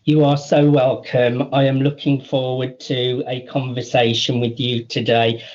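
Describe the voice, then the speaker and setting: Man, radio host, slow deliberate delivery, mid-pitched voice, muffled lo-fi sound. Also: little pitch movement, darkish tone. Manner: slow, deliberate, enthusiastic praise. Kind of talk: radio interview hosting.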